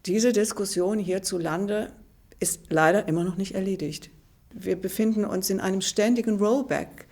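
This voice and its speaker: A woman's voice: medium pitch, moderate pace, darkish tone. A woman in her sixties from Germany, giving a thoughtful, hesitant interview answer. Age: 60s. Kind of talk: reflective interview clip.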